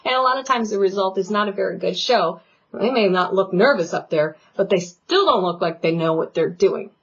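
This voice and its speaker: A woman vocal coach giving an online singing lesson, talking in a loud, animated and playful way. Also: mid-range voice, fairly quick, breathy edge. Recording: dull lo-fi sound.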